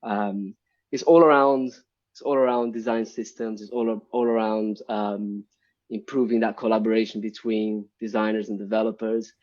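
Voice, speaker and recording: Mellow low-voiced man on a lo-fi call. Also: level tone, normal speed. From Brazil. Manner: hesitant, many ums and restarts. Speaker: guest, UI developer. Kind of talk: casual live-stream interview. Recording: dull lo-fi stream audio.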